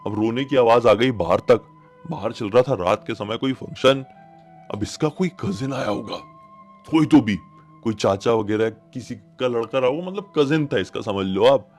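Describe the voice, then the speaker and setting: A man's voice: medium pitch, moderate pace. Man telling a horror story over music. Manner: animated retelling, addresses listeners directly, repeats phrases for suspense. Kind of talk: informal horror storytelling, conversational.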